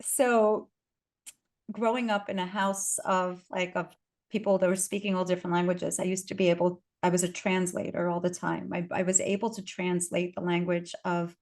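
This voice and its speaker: A woman's voice: lowish voice, level tone, moderate pace. Chatty woman hosting. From Brooklyn, New York. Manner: chatty and warm, with filler words and laughter. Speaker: podcast host. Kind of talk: casual conversation.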